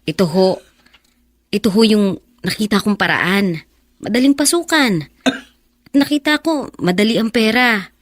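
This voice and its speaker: An actress playing a shy, dutiful nineteen-year-old with a Filipino accent, polite and earnest, in a radio drama. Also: female voice, mid-range voice, lively intonation, unhurried, pauses often, dry recording, studio quality. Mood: pleading, sorrowful.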